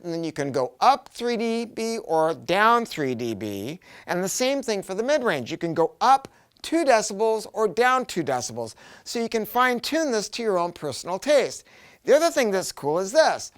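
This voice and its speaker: A man's voice: sits high, sing-song, moderate pace, clean tone. A middle-aged American man giving a casual, enthusiastic product review in colloquial, emphatic language, with a rambling delivery.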